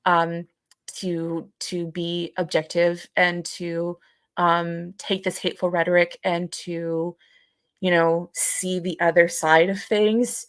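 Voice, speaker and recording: Young woman podcast co-host, slightly low voice in a dry recording; thoughtful, conversational, circling back over her phrases. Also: level tone, smooth, no room sound. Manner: halting, repeats phrases while thinking. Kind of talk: reflective casual conversation.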